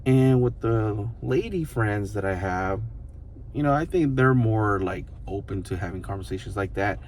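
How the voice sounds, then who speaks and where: A man in his thirties with a very low voice talks straight to his viewers as a vlogger. He muses in a loose, rambling way and keeps leaning on fillers. A faint hum of room noise sits behind him. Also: animated, moderate pace, a little mellow. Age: 30s. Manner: rambling, loose, full of fillers such as "you know" and "like". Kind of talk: casual reflective monologue to the camera.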